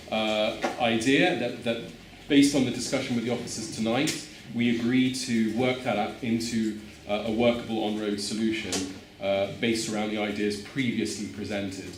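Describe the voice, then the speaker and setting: A man at a public meeting making a measured point, with stammering restarts. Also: mid-range voice, noisy background. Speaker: meeting attendee. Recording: roomy hall.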